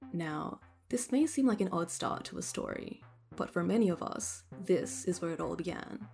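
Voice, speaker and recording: A woman with an Australian accent reading scripted documentary narration in a measured storytelling manner as the host. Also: lowish voice, lively intonation, vocal fry, darkish tone, faint music behind.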